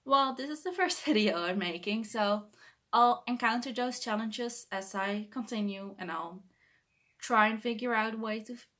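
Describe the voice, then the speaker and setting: A woman's voice: on the high side, normal speed, pushed and bright. Young Dutch woman, English second language, shy self-correcting vlogger talking alone to camera at home. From Netherlands. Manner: hesitant, self-correcting, laughs shyly. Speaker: booktuber. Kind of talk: casual talk to camera. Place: bedroom.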